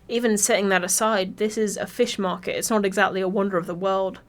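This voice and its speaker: A woman's voice: medium pitch, steady tone, brisk. A woman from Birmingham with an English accent reads a scripted video essay in a wry, informal, sweary way. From Birmingham, England.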